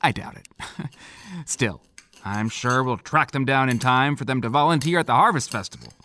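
A man playing an easygoing, gently teasing middle-aged small-town sheriff and father in an audio drama, with a dry, unhurried manner. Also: mid-range voice, expressive, slight background noise. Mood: relaxed. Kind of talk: naturalistic audio drama acting.

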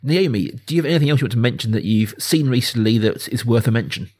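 A man speaking as a podcast host, chatting informally and with gentle jokiness about films. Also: mid-range voice, animated, quick pace, husky. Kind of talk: casual film podcast chat.

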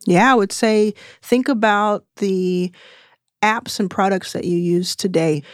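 A woman in her thirties speaks in a measured, explanatory way, pausing on fillers as she thinks. Her voice sits at a middle pitch. The recording is dry and close. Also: fairly even intonation, no echo. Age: 30s. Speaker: venture capital investor. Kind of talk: conversational interview answers.

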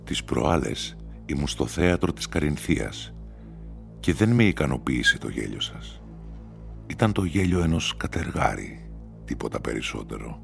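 A sinister older stranger from a radio story, acted out by a man, who is the devil in disguise. The voice is extremely low and gravelly, slow and deliberate. He speaks with mocking condescension over music. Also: sing-song, exaggerated voice.

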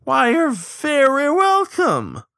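A man's voice: sits high, sing-song, moderate pace, sharp tone, dry recording, clean studio sound, voice pushed up, heavily acted. A man voicing a smug, teasing old male killdeer riverboat hand with a folksy, boastful drawl. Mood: mock-sweet teasing.

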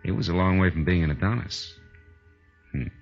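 An actor playing a wry, world-weary private detective in 1940s radio noir style. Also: male voice, low-pitched, a little fast, vocal fry, soft background music. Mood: weary, reflective. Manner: wry, familiar, hard-boiled. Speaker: lead actor and narrator. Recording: dull 1940s radio recording.

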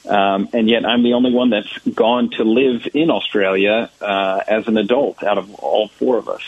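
A man's voice: on the low side, fairly even intonation, moderate pace, slightly rough, no echo, highs cut off. An American man in his thirties, a church ministry director answering interview questions thoughtfully, with many fillers and restarts. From United States.

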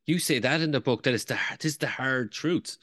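A man's voice: medium pitch, brisk, fairly bright tone. A man hosting a podcast, giving short affirmations and asking loosely phrased questions. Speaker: podcast host. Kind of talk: conversational interview questions.

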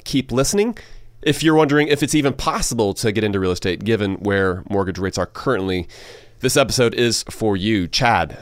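Male podcast co-host, conversational interviewer, middle pitch, very dry close recording. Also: smooth, dry recording.

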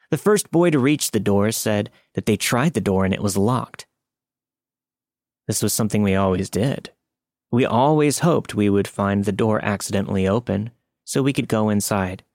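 A man's voice: fairly low pitch, a little mellow, no room sound, studio quality. A man reading a scary first-person story as scripted podcast narration.

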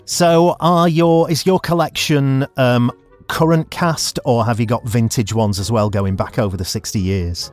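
A man hosting a quiz, putting questions to a contestant in an upbeat, lively way. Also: mid-range voice, a little slow, soft background music. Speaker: quiz host.

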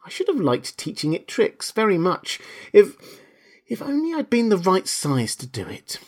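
A little girl from a storybook, as played by a man reading aloud. The voice is lifted high and moves up and down freely. The manner is polite, earnest and a bit hesitant. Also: wildly swooping pitch, moderate pace, exaggerated voice. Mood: wistful, pondering.

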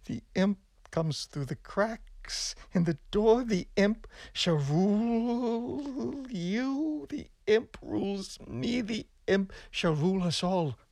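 A man voicing a chained, doomed madman in a gothic horror tale, with fevered, theatrical, drawn-out delivery. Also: high voice, lively intonation, vocal fry, a little mellow, dry recording, heavily acted. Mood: fevered despair. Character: chained, doomed madman haunted by an inner imp.

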